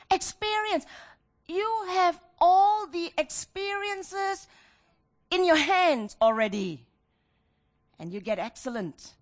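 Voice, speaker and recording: A middle-aged woman preaches. She speaks as a pastor to her congregation, mixing scripture with personal stories. Her delivery is emphatic, with repeated phrases and questions put to her listeners. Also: fairly high pitch. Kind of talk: sermon with personal anecdotes.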